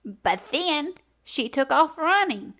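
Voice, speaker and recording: Woman, children's read-aloud narrator, very lively intonation, unhurried pace, theatrical phrasing. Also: sits high. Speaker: children's storytime host and narrator. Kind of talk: expressive read-aloud storytelling for young children.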